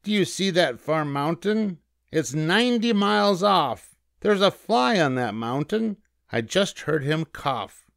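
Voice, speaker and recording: A man's voice: on the high side, lively intonation, unhurried, creaky, fairly bright tone, dry recording, clean studio sound, pitched above the performer's own voice, full character voice. A man voicing a boastful, cocky rabbit in a storybook, with a snappy, bragging manner.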